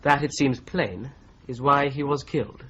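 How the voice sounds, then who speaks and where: An actor playing a polite, methodical young law clerk who presses his points in a calm, persistent way, in a radio drama. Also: mid-range voice, measured pace, a little hiss or hum, natural voice. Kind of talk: 1970s radio drama acting. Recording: slightly dull broadcast recording.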